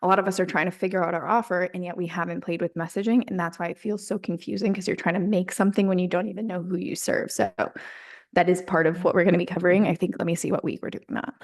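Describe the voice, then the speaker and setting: A woman hosts a group coaching call over a video link. She talks in a bubbly, effusive way, full of casual fillers, as she welcomes members and explains the program. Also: on the low side.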